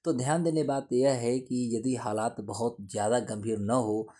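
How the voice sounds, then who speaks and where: A man's voice: medium pitch, fairly even intonation, moderate pace, warm tone. A man giving an explanatory lesson in a didactic way, repeating and rephrasing his points. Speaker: teacher explaining current affairs.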